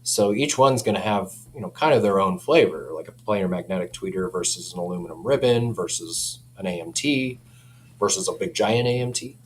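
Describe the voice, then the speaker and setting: Low-voiced man explaining products over humming noise. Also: a little fast. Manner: easygoing, colloquial, peppered with "you know" and "man". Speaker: audio company representative. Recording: clear background noise with hum.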